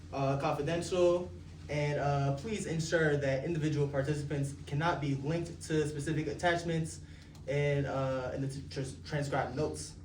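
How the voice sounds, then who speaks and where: A man's voice: a little high, darkish tone. Young man, focus group moderator, filler-heavy speech, roomy distant sound. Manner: hesitant, many filler ums and uhs. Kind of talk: reading focus group guidelines. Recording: roomy, distant mic, noisy ambience.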